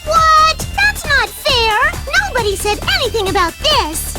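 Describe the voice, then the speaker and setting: A woman voicing a spirited young cartoon animal racer in a video game, youthful, emphatic and quick to indignation. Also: very high voice, sing-song, full character voice. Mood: indignant. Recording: music under the voice.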